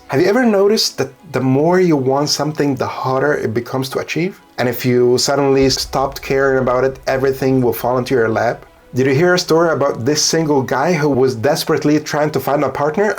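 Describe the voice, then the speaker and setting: A man's voice: on the high side, a little mellow. A man from Egypt in his thirties, giving an earnest motivational talk to camera from a home studio. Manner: earnest, coaching, self-mocking asides. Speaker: solo business creator.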